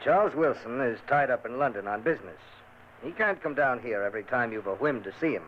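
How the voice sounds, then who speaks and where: A man's voice: medium pitch, expressive, brisk, natural voice. A man playing a stern, impatient nobleman in a vintage radio play, clipped and stammering when pressed. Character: stern, uneasy nobleman brother, impatient and evasive. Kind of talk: 1940s radio acting. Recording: dull old radio transcription with faint hiss.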